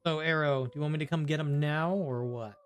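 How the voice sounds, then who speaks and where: A man's voice: on the high side, fairly quick, slight background noise. A man casually streaming a video game, rambling half to himself and half to his viewers. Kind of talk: casual live gameplay chat.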